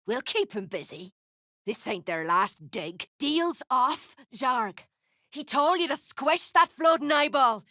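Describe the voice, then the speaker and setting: A tough dwarven mercenary woman from a fantasy game, with a sharp, forceful and fairly high voice. She is greedy, blunt and threatening, and takes her time over coarse words. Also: expressive, slow pace, dry recording, clean studio sound, full character voice. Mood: sour, mercenary. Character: tough, foul-mouthed, greedy female duergar mercenary. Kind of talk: video game character dialogue.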